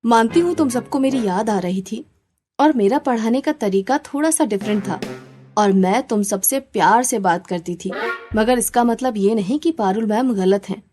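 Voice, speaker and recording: A woman's voice: on the high side, normal speed, dark tone, soft background music, lightly acted. A woman voicing a kind but firm schoolteacher who explains things to children in a cartoon story. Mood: gently admonishing.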